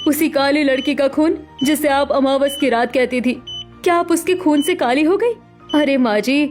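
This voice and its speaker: A woman's voice: high-pitched, a little mellow, natural voice. A woman voicing a protective, outspoken young sister-in-law in a melodramatic cartoon story, speaking emphatically. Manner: emphatic, persuasive.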